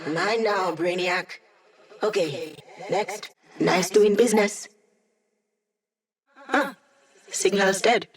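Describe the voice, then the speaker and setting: A woman's voice: mid-range voice, slow pace, pauses often, natural voice. An actress voicing a witty young female hacker in a video game, a digitized mind who helps a squad with breezy, wry, techy teasing. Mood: dry, teasing. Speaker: mission support character in a video game.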